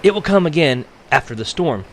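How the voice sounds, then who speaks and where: Man voicing a teasing, slightly raised-pitch young woman. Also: expressive, brisk, slight background noise, natural voice. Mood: teasing, defiant. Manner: defiant, mocking.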